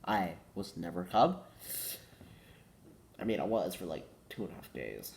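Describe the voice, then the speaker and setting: A man's voice: deep voice, big pitch swings, normal speed, vocal fry, a little mellow. A teenage boy with a cold, congested and coughing, rambling casually and correcting himself, saying "like" a lot. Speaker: vlogger.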